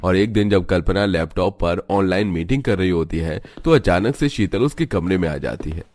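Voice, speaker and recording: Male narrator, fairly deep voice, scripted storytelling for an animated family tale. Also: normal speed, no echo.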